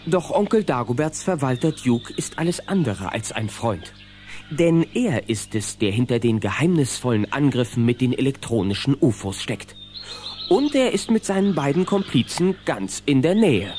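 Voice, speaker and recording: A man's voice: mid-range voice, sing-song, moderate pace, a little mellow, soft background music, natural voice. A man narrating a German children's adventure radio play as the storyteller. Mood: storytelling.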